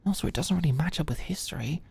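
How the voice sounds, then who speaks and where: A woman playing an anxious, stressed young medical student in an ASMR audio roleplay, halting and stammering as she talks. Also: low voice, creaky, darkish tone, slightly rough, exaggerated voice. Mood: muttering, worried.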